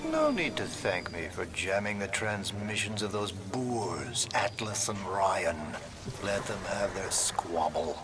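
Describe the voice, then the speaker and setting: A flamboyant, unhinged artist who swoops wildly up and down in pitch. His lowish voice is slow and drawn-out, and every phrase is theatrical and grandiose. Loud music plays behind him in this game scene. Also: big pitch swings, heavily acted. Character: flamboyant, unhinged middle-aged male artist impresario. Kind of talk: video game dialogue.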